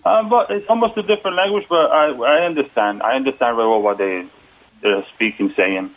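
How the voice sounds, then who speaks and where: A man with a Puerto Rican accent, calling in as a political candidate. He talks earnestly but haltingly, pausing on fillers. The sound is thin and phone-like, at a mid pitch. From United States. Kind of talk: phone-in interview. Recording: tinny phone line.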